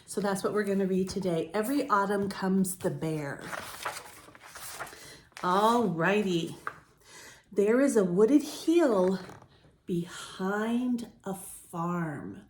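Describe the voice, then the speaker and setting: A woman reading a picture book aloud to young children as a storytime host, with deliberate, drawn-out phrasing. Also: medium pitch, expressive, darkish tone, a little hiss or hum.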